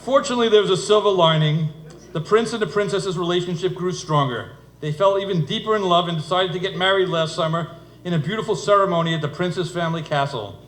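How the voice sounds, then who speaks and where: Middle-aged male, fairly high voice, dry humorous wedding speaker, recorded in a reverberant room. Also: quick pace, fairly bright tone. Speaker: father of the bride giving a speech. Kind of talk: humorous wedding speech told as a fairytale. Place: indoor wedding reception venue. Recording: echoey room, noisy event ambience.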